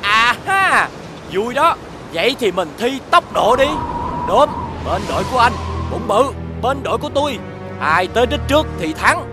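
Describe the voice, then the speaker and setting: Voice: medium pitch, big pitch swings, normal speed, close to an everyday voice. An actor voicing a cocky male wind spirit in a cartoon, speaking over music. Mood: competitive. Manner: rivalrous, teasing. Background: background music.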